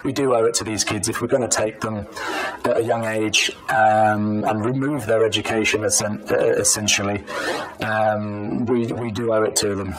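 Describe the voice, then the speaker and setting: A British man answering interview questions in a measured, halting way, repeating words, his voice pitch-altered to disguise it. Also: little pitch movement, over music, low-quality recording. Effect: pitch-shifted disguised voice. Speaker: anonymous professional footballer being interviewed.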